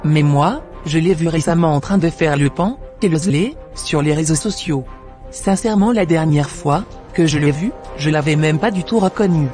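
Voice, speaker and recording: A man's voice: on the high side, normal speed, darkish tone, natural voice. A man playing a frank, concerned churchgoing woman in an amateur cartoon, speaking earnestly. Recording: music behind the voice.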